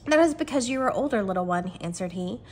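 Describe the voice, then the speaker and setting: Woman reading as great calm wise lion. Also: mid-range voice, fast talker, close to an everyday voice. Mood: gentle, calm. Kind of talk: storybook reading.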